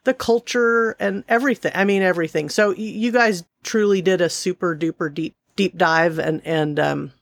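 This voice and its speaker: A woman's voice: fairly low pitch, normal speed, few pauses, dry recording, studio quality. A woman hosting a podcast, chatting loosely with repeated words. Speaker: host. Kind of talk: casual podcast chat.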